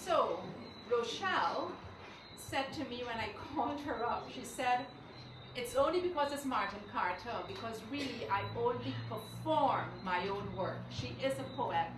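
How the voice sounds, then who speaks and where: A woman's voice: a little high, lively intonation, unhurried. Woman hosting, in a noisy echoey room. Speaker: event host introducing readers. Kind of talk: informal spoken introductions to an audience. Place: indoor live recital event, picked up from afar. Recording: roomy, distant hall recording with loud noise.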